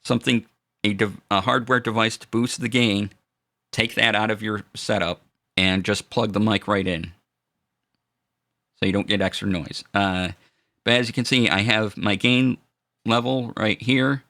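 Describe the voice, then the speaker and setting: A man with a low voice explaining audio gear in a relaxed, halting live-stream demo, full of fillers and restarts. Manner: greets chat viewers warmly. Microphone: studio dynamic mic.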